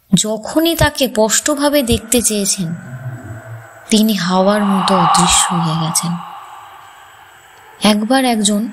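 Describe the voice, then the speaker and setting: A woman host narrating a scripted horror story in a measured, suspenseful storytelling manner. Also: mid-range voice, a little hiss or hum, rough recording.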